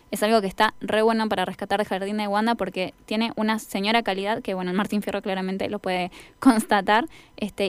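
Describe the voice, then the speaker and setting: A young woman with an Argentine accent hosts a radio interview in a conversational way. Her voice is exceptionally clean and resonant, at a middle pitch. The sound is dry, with no room echo. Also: clean tone. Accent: Argentine Spanish. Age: young adult. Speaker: radio host.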